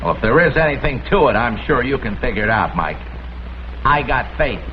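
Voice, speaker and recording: An actor playing a slick schemer in a vintage crime drama, coaxing in slangy, informal talk. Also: male voice, medium pitch, lively intonation, a little fast, natural voice. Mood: breezy. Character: slick, wheedling male schemer after insurance money. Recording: dull old soundtrack with faint noise.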